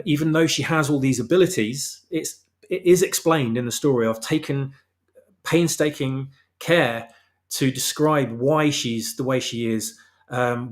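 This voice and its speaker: A man speaking as a guest author in a casual interview, explaining his work in an emphatic, opinionated way. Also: mid-range voice. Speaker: guest author of fan fiction. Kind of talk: casual interview chat about his own story.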